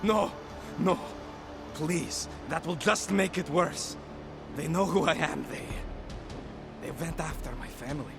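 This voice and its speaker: Video game informant: scared, worn down, protective of family, raised pitch with heavy rasping fry. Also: male voice, expressive. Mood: fearful, pleading. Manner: pleading, bitter, halting. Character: frightened, weary male informant, protective of his family. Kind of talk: dramatic video game acting. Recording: game dialogue under loud music. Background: game music.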